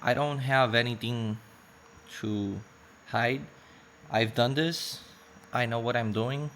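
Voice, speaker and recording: A man's voice: mid-range voice, fairly even intonation, takes it slowly, fairly bright tone, slight background noise. A man whose first language is Spanish, vlogging casually about his online shop and walking through his screen, often correcting himself mid-sentence. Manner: rambling, self-correcting, uneven pace. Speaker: Etsy seller vlogger. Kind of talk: casual vlog with screen walkthrough.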